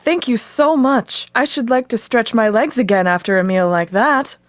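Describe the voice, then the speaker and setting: A woman's voice: on the high side, normal speed, a little hiss or hum, close to an everyday voice. A woman playing a polite, tender-hearted young princess in love in an audio drama, formal and earnest. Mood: polite, eager.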